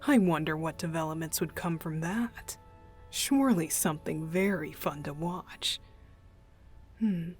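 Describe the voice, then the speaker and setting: A woman voicing a playful, mischievous young male deacon in an unhurried, teasing ASMR roleplay style. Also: low voice, soft background music. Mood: sly, musing. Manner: teasing, unhurried, chuckling, breathy asides.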